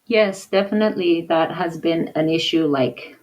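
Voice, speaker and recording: Woman, low-pitched, raised in Papua New Guinea, podcast guest, hesitant, reflective. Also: moderate pace, low-quality recording. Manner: thoughtful, with frequent hesitations and restarts; lively when acting out conversations. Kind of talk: casual interview talk.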